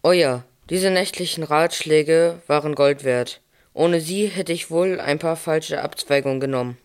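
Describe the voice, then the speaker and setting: A man playing a grateful young husband giving a testimonial in a scripted nativity audio play, with a clear voice. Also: a little high, unhurried. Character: Joseph, Mary's grateful fiancé giving a testimonial.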